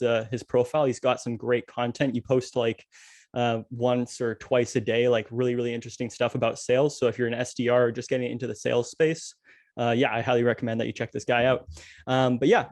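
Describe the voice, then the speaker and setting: A man's voice: medium pitch, a little mellow, no echo. Podcast host guy, middle voice, lots of like-like-like, dead dry sound. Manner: rambling questions, repeated words and "like" fillers. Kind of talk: casual podcast hosting.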